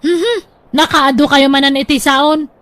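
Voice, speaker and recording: A woman's voice: sits high, steady tone, unhurried, fairly bright tone. An actress playing a scolding older wife in an audio drama, nagging her husband.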